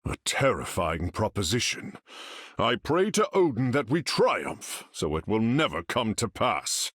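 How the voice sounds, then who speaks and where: Stately, slow-spoken warrior god. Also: male voice, lowish voice, sing-song, fairly bright tone, dry recording, studio quality, heavily acted. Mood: solemn. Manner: stately, archaic, declamatory. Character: noble, proud male warrior god. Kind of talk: video game character acting.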